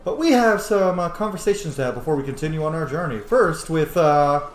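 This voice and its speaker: A man's voice: a little high, animated, brisk, a little hiss or hum. A man giving casual, rambling commentary while playing a roleplay game, chatty and full of fillers and asides. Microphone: camera setup at home. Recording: roomy echo.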